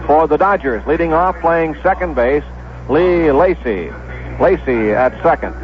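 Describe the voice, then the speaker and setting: Higher-voiced American baseball announcer, vintage radio sound. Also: male voice, lively intonation. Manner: measured announcing, repeats names for clarity. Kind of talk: 1970s radio sports commentary, pregame lineups. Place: stadium broadcast booth. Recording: dull lo-fi vintage radio broadcast.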